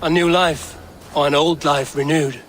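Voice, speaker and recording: A man playing a steady, guarded Viking raider with a measured, terse manner, in a video game. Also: fairly high pitch, a little hiss or hum, poor audio. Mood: calm, guarded. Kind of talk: video game cutscene acting.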